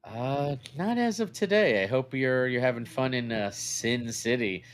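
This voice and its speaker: A man's voice: mid-range voice, lively intonation, measured pace. A man co-hosting a podcast in a casual, opinionated conversational style. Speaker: podcast co-host.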